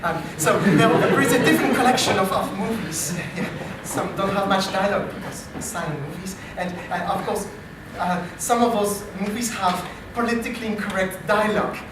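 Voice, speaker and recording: A man with a French accent, an AI researcher hosting a live improv comedy show, talking in a dry, self-deprecating way with halting restarts. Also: high-pitched, noisy background. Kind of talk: live comedy stage talk. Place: live comedy meetup venue. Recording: distant, very echoey live venue.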